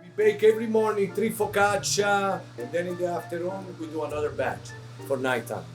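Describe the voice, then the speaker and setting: Middle-aged Italian-accented restaurateur, somewhat high voice, faint music behind, halting chatty delivery. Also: male voice. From Italy. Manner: halting, filler-heavy, animated with laughs. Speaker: restaurant co-owner. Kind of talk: casual interview chat.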